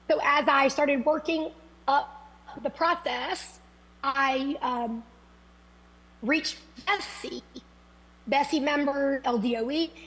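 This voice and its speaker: A woman's voice: high-pitched, rough recording. A woman from Louisiana, a political candidate who is sick, answering forum questions over a video call in an earnest, rambling way with many restarts.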